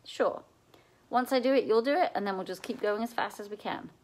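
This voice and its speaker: A practical, bossy young girl in a children's storybook, played by a woman reading aloud. Her voice is raised in pitch and urgent. She instructs firmly and sounds determined. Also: fairly quick, darkish tone, natural voice, low-quality recording.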